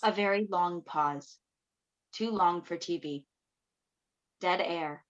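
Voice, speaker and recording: A woman's voice: on the low side, slow pace. A woman reading stage directions as scripted narration, in clipped, matter-of-fact phrases. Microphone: video call microphone. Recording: dull lo-fi video call.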